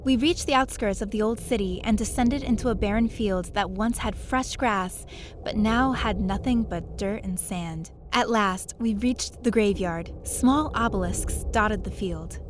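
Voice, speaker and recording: A woman's voice: mid-range voice, unhurried, background noise, close to an everyday voice. A woman playing a curious, sheepish young adventurer who narrates her own story in an audio drama. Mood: reflective. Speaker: lead character and narrator. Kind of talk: first-person scripted story narration with dialogue.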